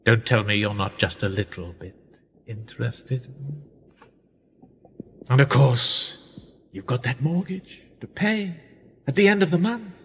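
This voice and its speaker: An actor playing a sly, persuasive older conspirator in a radio play, theatrical and insinuating, with husky, drawn-out, often hushed phrases. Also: male voice, mid-range voice, lively intonation, slight background noise. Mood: sly, tempting. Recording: echoey hall-like reverb.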